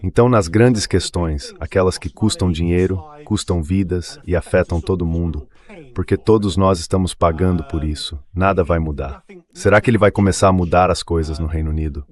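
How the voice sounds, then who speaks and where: A man's voice: deep voice, moderate pace. A synthetic male voice dubbing a political interview in a conversational style. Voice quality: synthetic text-to-speech voice. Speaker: AI dub voice translating an interview.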